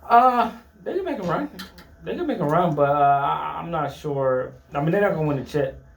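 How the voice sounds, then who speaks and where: A man's voice: on the high side, animated, moderate pace, gravelly, reverberant. A man co-hosting a casual sports chat show, giving opinionated takes with lots of stammered restarts.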